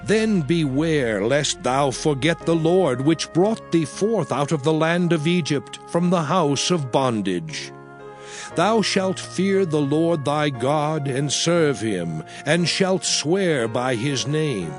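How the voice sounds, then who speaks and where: A man narrating a dramatized King James scripture reading in a slow, grave, emphatic declamation. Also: fairly high pitch, animated, soft background music.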